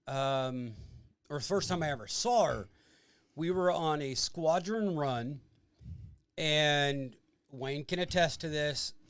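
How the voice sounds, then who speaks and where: A man who served in the military tells a crude story in casual chat with a loud, booming voice, laughing through it and pausing on many ums. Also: clean tone. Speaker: podcast guest, military veteran.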